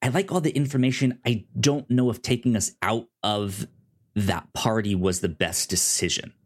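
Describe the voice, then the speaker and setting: A man co-hosting a podcast, chatting casually about television in a halting way, often repeating words and restarting. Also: lowish voice. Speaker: podcast co-host. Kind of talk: casual TV review chat.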